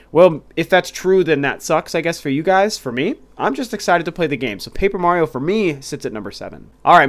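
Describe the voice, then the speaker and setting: Stuffy, enthusiastic male game reviewer. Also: mid-range voice. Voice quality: slightly nasal. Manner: enthusiastic, rambling with restarts. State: stuffy nose from a cold. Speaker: video game review host. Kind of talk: casual solo talk to camera.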